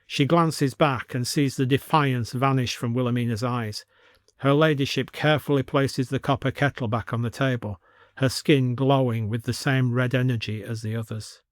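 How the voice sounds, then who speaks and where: A man's voice: medium pitch, steady tone, normal speed, no room sound, studio quality. A man narrating a scripted supernatural story.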